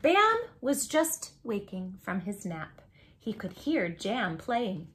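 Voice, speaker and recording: A woman's voice: mid-range voice, slow pace, faint music behind. A woman hosting a children's read-aloud, speaking affectionately and expressively straight to young listeners with friendly asides. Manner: affectionate, encouraging, addresses young listeners directly; expressive, emphatic delivery. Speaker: host reading children's picture books aloud.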